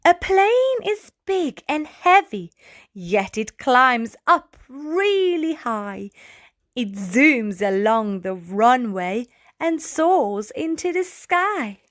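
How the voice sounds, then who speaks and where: A woman's voice: high voice, big pitch swings, very slow, fairly bright tone. A woman reading a picture book aloud to young children, in a playful manner as the host. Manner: addresses small children, playful sound effects.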